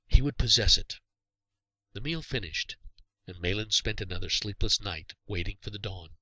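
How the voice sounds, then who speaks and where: A man narrating a scripted storybook tale as its author, in a dramatic, expressive way. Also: on the low side, no room sound, poor audio. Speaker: author reading own story.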